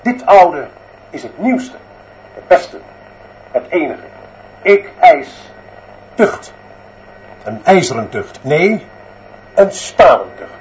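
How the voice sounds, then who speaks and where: An actor playing a stern, dictatorial school director in a radio drama, declaiming in emphatic, measured phrases. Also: male voice, fairly high pitch, big pitch swings, darkish tone, slight background noise. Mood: stern, commanding. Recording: slightly dull, muffled sound.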